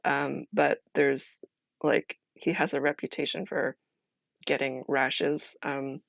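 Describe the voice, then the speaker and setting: Very creaky woman streamer, thinking aloud. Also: very deep voice, level tone, no echo, highs cut off. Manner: halting, thinking aloud with pauses. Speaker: streamer playing a solo role-playing game. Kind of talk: casual live-stream chat, explaining a game.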